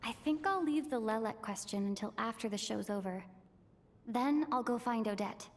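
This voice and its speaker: High female voice, actress playing curious young adventurer, easygoing, conversational musing, video game. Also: normal speed, lightly acted. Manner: thinking aloud, conversational.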